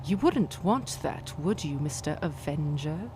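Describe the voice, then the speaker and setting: An actress playing a cunning, defiant female vigilante in a pulp radio drama, with formal, deliberate, menacing phrasing. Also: low-pitched, expressive, darkish tone, a little hiss or hum. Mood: defiant, threatening.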